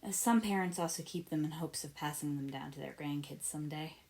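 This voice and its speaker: A woman's voice: low voice, brisk, darkish tone, a little hiss or hum. A woman voicing a fond, anxious mother in a read-aloud story. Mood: fond, gentle. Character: fond, anxious mother comforting a young boy.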